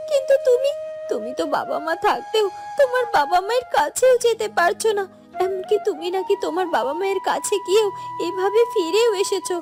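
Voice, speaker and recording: A woman voicing a tender-hearted, sorrowful young orphan in a cartoon, speaking in an earnest, emotional way. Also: very high voice. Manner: earnest, emotional, halting repetitions. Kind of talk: Bengali fairy-tale cartoon acting.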